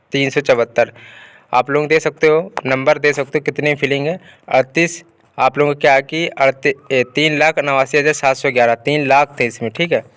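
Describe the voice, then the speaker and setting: A man speaks at a medium pitch in an informal explainer style, as if addressing students. He repeats his phrases and keeps checking in with short tags. The sound is dry, with very little room echo. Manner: repetitive, punctuated with check-in tags. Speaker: exam news video presenter.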